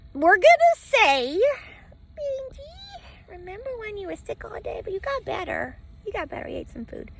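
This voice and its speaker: A woman's voice: high voice, creaky, a bit bright, a little hiss or hum, poor audio. A woman rambling through a personal vlog from inside a parked van, stopping and starting and repeating phrases for emphasis. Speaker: travel vlogger living in a van. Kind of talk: rambling personal vlog, talking to camera and to a dog. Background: faint ocean wash.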